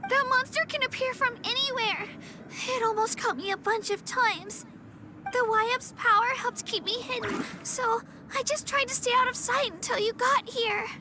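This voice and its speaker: A woman's voice: very high voice, normal speed. A woman voicing an earnest young girl warrior in a video game, timid yet determined. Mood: relieved. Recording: clear background noise.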